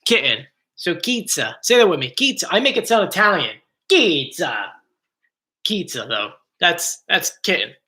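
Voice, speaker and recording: A man's voice: high voice, very animated, a little slow, pushed and bright. A man hosting a casual live stream from home, chatty and joking, easily drifting off topic. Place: home, bedroom area. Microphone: computer mic.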